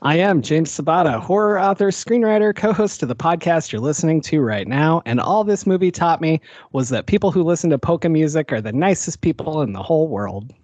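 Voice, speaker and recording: A man with a fairly high voice co-hosts a podcast, reading sponsor spots and teasing his guests playfully. The recording is dry and close. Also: lively intonation, fairly quick, a little mellow, dry recording. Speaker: podcast co-host. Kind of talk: casual podcast chat and ad reads.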